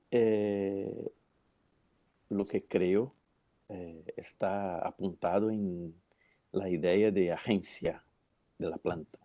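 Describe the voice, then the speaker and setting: A man with a very low, dark voice and a Brazilian Portuguese accent, commenting in an academic discussion. He talks slowly, with frequent fillers, searching for words in a language that is not his own. The sound is dry and close. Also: dry recording.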